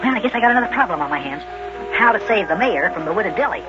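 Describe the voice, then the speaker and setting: A man playing a small, innocent, excitable boy who exclaims and stammers in 1950s children's radio style. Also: sits high, very fast. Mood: dismayed. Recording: muffled old radio recording with music.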